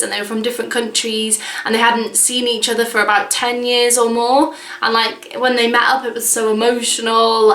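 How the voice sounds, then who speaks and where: A woman's voice: on the high side, bright, forceful tone, echoey room. A woman, chatting casually in a vlog with lots of fillers and "like"s. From Wales. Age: 20s. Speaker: vlogger. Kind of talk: casual chat.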